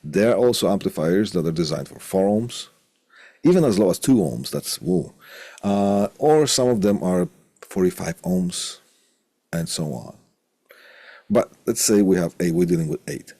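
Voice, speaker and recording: Low, gravelly man giving a lecture. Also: animated, mellow, no echo. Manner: deliberate, repeats phrases and poses questions to himself. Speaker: college electronics instructor. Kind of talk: informal lecture explaining technical material.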